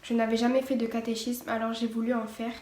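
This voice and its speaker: A woman's voice: a little high, monotone, quick pace, darkish tone. A teenage girl giving a casual personal testimony, partly read from notes, hesitant with many fillers and restarts. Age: teens. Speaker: vlogger. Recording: echoey room, faint background noise.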